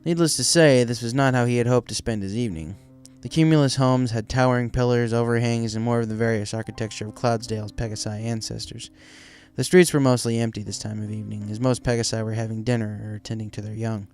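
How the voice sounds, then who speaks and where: A man's voice: medium pitch, fairly even intonation, quick pace, darkish tone, clear, resonant tone, faint music behind. A man reading a story aloud as scripted narration. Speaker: fan fiction reader.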